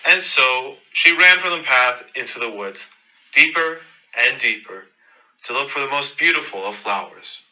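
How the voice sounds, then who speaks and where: A man's voice: medium pitch, lively intonation, a little slow, sharp tone, dull audio. A man narrating a scripted fairy-tale radio play in a dramatic storytelling manner.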